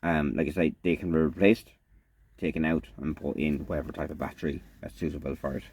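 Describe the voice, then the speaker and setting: A man giving a casual, unscripted product demonstration as a reviewer, in a halting, matter-of-fact way. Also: rumbling low, steady tone, darkish tone, slight background noise.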